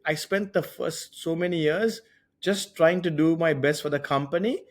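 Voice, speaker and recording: Guy on a podcast, slightly high voice, chatting casually, kinda tentative and thoughtful. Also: moderate pace. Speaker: podcast speaker. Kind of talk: casual reflective chat.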